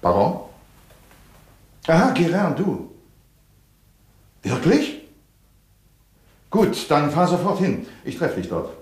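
An actor playing a cool, ironic police inspector who questions suspects in a radio crime play. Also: male voice, fairly high pitch, big pitch swings, slow, long pauses, a little mellow. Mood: probing. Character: cool, probing middle-aged male police inspector. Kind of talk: 1970s radio crime drama acting.

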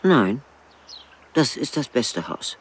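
An actress playing an elderly village widow in a radio drama, plainspoken and stubborn, with a dry, blunt manner. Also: female voice, a bass voice, big pitch swings, takes it slowly, breathy edge, full character voice. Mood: matter-of-fact, dry. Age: older. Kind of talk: radio drama narration and dialogue.

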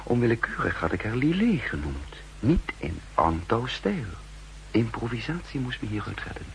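An actor playing a self-assured spy who recounts his undercover life in measured, formal 1960s radio drama narration. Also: medium pitch, very animated, creaky. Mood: wry, reflective. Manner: measured, formal, literary storytelling. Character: self-assured male spy posing as a counterintelligence chief, recounting his story. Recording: 1960s radio recording with hum and noise.

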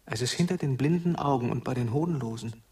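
A male actor plays a lost, brooding wanderer in a radio drama. His mid-range voice comes from a little way off the microphone. He speaks in halting, poetic phrases full of melancholy questioning. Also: medium pitch, warm tone, close to an everyday voice. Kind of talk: German radio drama acting. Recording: somewhat distant from microphone.

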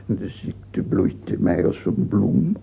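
An actor playing a dying, cruel king in his sixties in a radio play, speaking haltingly in gasps with sudden outbursts. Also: on the low side, big pitch swings, exaggerated voice. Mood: feverish dread. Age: 60s. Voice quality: husky, creaky, gasping. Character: dying sixty-year-old tyrant king, bitter, cruel, raving. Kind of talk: theatrical radio drama acting. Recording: old lo-fi radio recording, phone-like.

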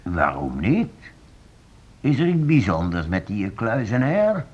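An actor playing a self-assured, sceptical gentleman in a period radio play, brisk and dismissive. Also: lowish voice, wildly swooping pitch, breathy edge, heavily acted. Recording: dull old radio recording.

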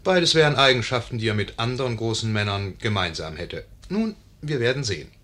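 A husky male voice with lively intonation as the narrator of a 1950s radio play. He muses wryly to his listeners, chatty and ironic. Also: mid-range voice, brisk. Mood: wry, conspiratorial. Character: wry, musing narrator addressing the audience.

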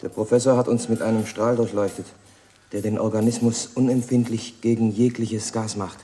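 A man's voice: lowish voice, little pitch movement, normal speed, dark tone, a little hiss or hum, natural voice. An actor in a German radio play playing a steadfast party man who takes command, firm and sober in delivery.

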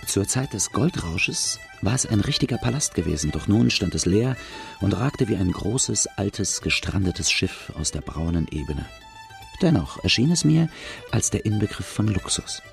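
A man's voice: fairly low pitch, big pitch swings, moderate pace, gravelly, soft background music. An actor playing a rueful outlaw who recounts his hard childhood, narrating plainly in a radio drama. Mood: reflective storytelling.

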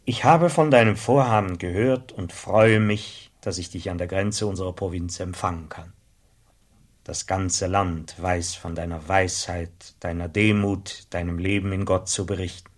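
Stately bishop for radio play: deep voice, unctuous, ceremonious, lofty delivery. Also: male voice, steady tone, a little slow. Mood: reverent praise. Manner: solemn, lofty, declamatory. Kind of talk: German radio drama acting.

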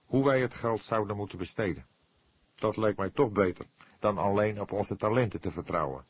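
A man's voice: lowish voice, breathy edge. Man als gespannen jonge verdachte, hese stem. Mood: agitated, explaining. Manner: halting, pleading, earnest. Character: anxious young male suspect, defensive and emotional. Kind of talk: audiobook character dialogue.

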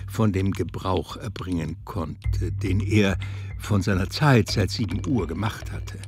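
An actor playing a grave literary narrator in a radio drama, telling a dark thriller with deliberate, weighty phrasing. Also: male voice, deep voice, over music. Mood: ominous. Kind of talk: scripted narration.

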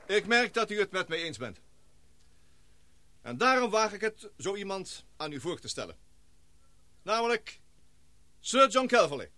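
Radio drama earnest expedition scientist: high-pitched, forceful, precise and oratorical, recorded dry. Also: male voice, no echo. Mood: solemn, urgent. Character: earnest male scientist leading an island expedition, formal, excitable. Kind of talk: Dutch radio play acting.

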